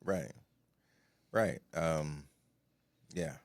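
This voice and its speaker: A man with a deep voice co-hosting a casual podcast, talking in relaxed slang and getting animated. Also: drawn-out words, no room sound. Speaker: podcast co-host, content creator.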